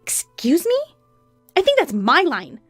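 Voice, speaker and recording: Woman acting a scornful, high-voiced betrayed wife. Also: wildly swooping pitch, a little slow, no echo, clean studio sound, faint music behind, heavily acted. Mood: sarcastic. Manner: sarcastic, forceful.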